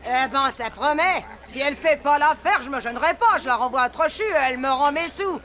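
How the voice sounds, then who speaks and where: A woman's voice: sits high, a little fast, fairly bright tone. A woman voicing a bossy, menacing café-owner shrew in a French cartoon, with a scolding, sarcastic, slangy way of talking. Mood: mocking. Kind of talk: exaggerated French cartoon acting.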